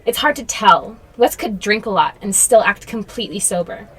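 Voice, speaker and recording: A woman's voice: medium pitch, animated, normal speed, fairly bright tone, husky, rough recording. A young woman acting in a student radio drama as a witness whose friend has gone missing. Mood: anxious, explaining.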